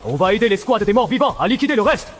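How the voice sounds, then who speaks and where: A man playing a brash young street fighter in a dubbed animated series, swaggering and combative. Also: sits high, fast talker, background noise. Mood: cocky glee.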